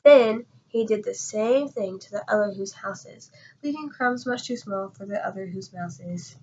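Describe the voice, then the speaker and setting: A woman reading a rhyming children's story aloud with expressive, dramatic, rhythmic phrasing. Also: mid-range voice, measured pace, dull audio. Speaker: storybook reader.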